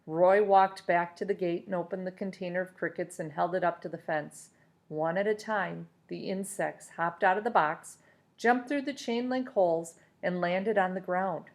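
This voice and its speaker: A woman reading a children's novel aloud in an expressive storytelling style. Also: fairly low pitch.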